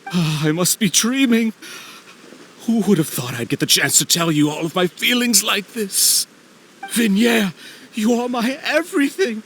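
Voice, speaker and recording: Game casting: obsessive, desperate middle-aged lover, high voice, very expressive swings. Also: male voice, slight background noise. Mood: anguished devotion. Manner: emotional, halting, pleading. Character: obsessive, desperate man pining for lost love. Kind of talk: dramatic video game voice acting.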